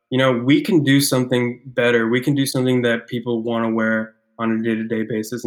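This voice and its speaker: A man from Ecuador, co-hosting a casual podcast, speaking thoughtfully with frequent restarts and repeated words. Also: medium pitch. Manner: thoughtful, stammers and restarts often. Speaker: podcast co-host, clothing brand founder. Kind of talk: casual chat.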